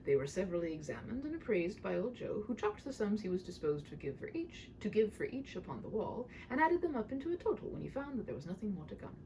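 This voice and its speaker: A woman narrates like a hobby audiobook reader, informal and self-deprecating. Her intonation is highly varied. The voice sits some distance from the microphone, with slight noise in the recording. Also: very animated, fast talker, few pauses, mellow. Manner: chatty, self-deprecating, rambling asides. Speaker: amateur audiobook reader and channel host. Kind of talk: storybook narration with casual asides. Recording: distant mic with faint noise.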